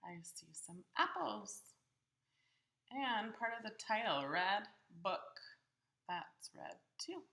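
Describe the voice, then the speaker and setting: A woman reading a picture book aloud to young children, asking them questions in simple, expressive phrases. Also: medium pitch, slow pace, gravelly. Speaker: children's storybook reader.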